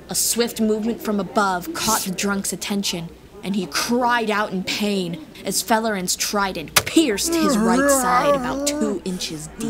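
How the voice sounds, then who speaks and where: Woman narrating fantasy over music. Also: mid-range voice. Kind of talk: scripted fantasy audiobook narration. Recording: music bed behind voice.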